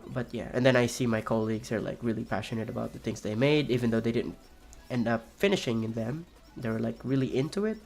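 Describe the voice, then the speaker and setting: A young man with a raspy voice, streaming a game and rambling casually with many fillers and restarts. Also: medium pitch, quick pace, few pauses, darkish tone, soft background music. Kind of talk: casual chat while playing a game.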